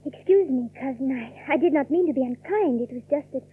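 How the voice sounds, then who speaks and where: An actress playing a polite, timid young country cousin in a radio drama, speaking with hesitant courtesy. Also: female voice, high-pitched, fairly quick, lightly acted. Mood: shy, flustered. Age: young adult. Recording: dull, dry old radio recording.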